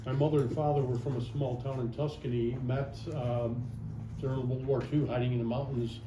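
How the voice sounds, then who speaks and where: A man introducing himself at a workshop, matter-of-fact and halting, with many pauses. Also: medium pitch, little pitch movement. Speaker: workshop participant, lawyer. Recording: echoey room, background noise.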